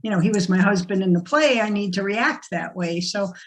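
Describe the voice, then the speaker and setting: A woman's voice: medium pitch, brisk. A woman, an amateur play cast member, talking casually in an online group discussion over a video call.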